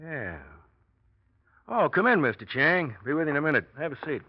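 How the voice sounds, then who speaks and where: A man's voice: fairly high pitch, very animated, normal speed. A man playing a cool, probing police inspector in a 1940s radio drama, dry and insinuating with a suspect. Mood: probing, sly. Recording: dull, muffled old radio recording.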